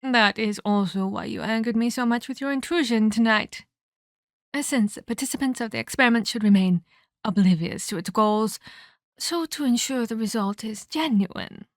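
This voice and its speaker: A woman's voice: on the high side, normal speed, no echo, close to an everyday voice. Lady doing an ancient evil vampire woman, asmr roleplay, smug n taunting, very dry close sound. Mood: cold menace. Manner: haughty, taunting, scolding, formal diction. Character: sadistic, scheming twelve-hundred-year-old female vampire villain.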